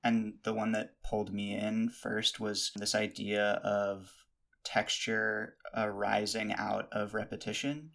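Male interviewer, gravelly and monotone, halting and hedging as he asks. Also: on the low side. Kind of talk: casual interview questions.

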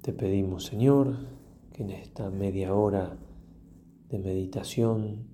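A man, a priest leading a guided prayer meditation in a solemn, reflective manner with long pauses and softly trailing phrases. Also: lowish voice, slight background noise.

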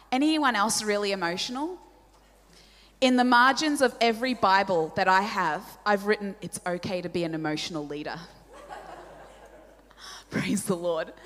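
A woman in her forties with an Australian accent, a native Polish speaker, preaching a sermon with animated, self-deprecating storytelling and questions to the audience. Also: medium pitch. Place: large church hall. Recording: church hall reverb.

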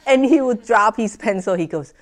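A woman from Taiwan, a French horn player telling stories in a relaxed interview, with many ums. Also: medium pitch, moderate pace. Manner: animated storytelling with frequent ums and laughs. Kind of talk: conversational interview.

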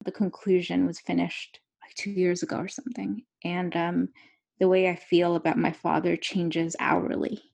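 A woman's voice: on the low side, flat delivery, normal speed, vocal fry, darkish tone. A young adult woman author talking candidly and rambling, with lots of filler words and laughing asides. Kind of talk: candid conversational talk.

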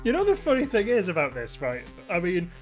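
A man's voice: sits high, huge pitch swings, fairly quick, over music. A man hosting a podcast, jokey and mock-exasperated in casual banter and comic read-throughs. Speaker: podcast host.

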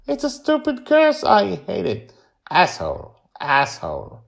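Man voicing a high-pitched, insistent little girl. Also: huge pitch swings, measured pace, vocal fry, full character voice. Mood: insistent, indignant. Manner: insistent, questioning. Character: stubborn, inquisitive nine-year-old girl. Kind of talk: acted storytelling dialogue.

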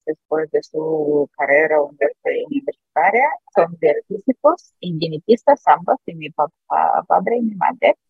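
Russian-accented woman, husky and dry. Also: fairly low pitch, no echo, phone-quality sound. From Siberia, Russia. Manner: halting, restarts with drawn-out fillers and frequent laughs. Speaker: startup founder, guest. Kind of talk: casual interview chat.

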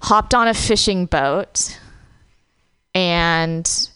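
A woman in her thirties, from Vietnam, talks loosely and laughs often as a guest on a casual podcast. Her voice is smooth and clear. The sound is dry and close. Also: fairly low pitch, drawn-out words, fairly bright tone, no room sound. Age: 30s. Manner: chatty, laughing, heavy filler words. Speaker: comedian guest.

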